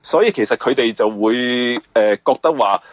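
A man with a Hong Kong accent being interviewed as a novelist, explaining in a conversational, halting way and often repeating words. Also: medium pitch, no room sound, dull audio.

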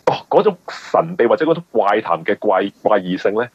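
Low-voiced, husky Hong Kong Cantonese man. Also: sing-song, dry recording, dull audio. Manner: halting, repeats words as he explains. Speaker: novelist guest. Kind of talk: conversational radio interview.

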